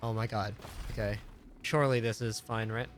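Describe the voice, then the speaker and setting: A man's voice: fairly low pitch, steady tone, moderate pace. A man giving casual live gameplay commentary, often muttering to himself as he plays. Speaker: game streamer. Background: loud game music.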